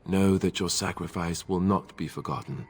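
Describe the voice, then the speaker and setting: A somber veteran warrior talks earnestly. His voice is low and husky. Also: moderate pace, heavily acted. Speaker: game character.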